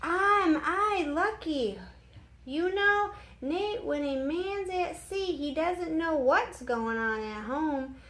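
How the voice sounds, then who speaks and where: A hearty young sailor from a storybook , acted out by a woman reader, pitched high and exclamatory. He is blunt and exuberant, with a loud, unhurried delivery. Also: heavily acted. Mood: eager, curious.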